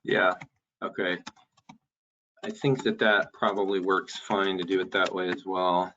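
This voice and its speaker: A man's voice: low-pitched, flat delivery, unhurried, dull audio, background noise. A man talking through details as a city planner in an informal meeting, hesitant and thinking aloud. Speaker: city planner presenting a code draft.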